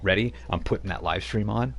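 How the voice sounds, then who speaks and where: A man's voice: on the low side, fairly quick. A man hosting a live-streamed tabletop game night, chatting casually and laughing easily. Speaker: game master and stream host.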